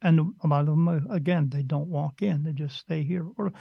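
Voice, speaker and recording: A man's voice: on the high side, fairly even intonation, brisk, gravelly, slightly rough, no room sound, dull audio. A man who works as a spirit medium, talking casually on a podcast in a blunt, rambling way and slipping into other people's voices.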